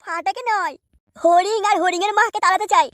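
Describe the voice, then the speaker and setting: An extremely high-pitched comic village fellow, acted by a woman, whining and excitable. The words tumble out fast and crude, in a dry cartoon recording. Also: no echo. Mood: troubled, complaining.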